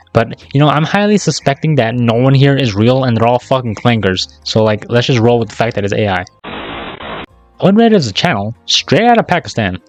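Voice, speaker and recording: A man's voice: medium pitch, dry recording. A man narrating a casual scripted YouTube commentary in a sarcastic, slangy, profane way. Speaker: YouTube video essayist.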